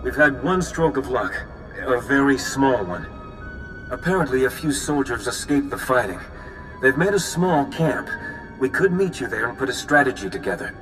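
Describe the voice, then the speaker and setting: An actor playing a worried, businesslike military ally in a video game, brisk and concerned. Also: male voice, medium pitch, expressive, fairly bright tone, soft background music, natural voice, rough recording. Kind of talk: video game cutscene acting.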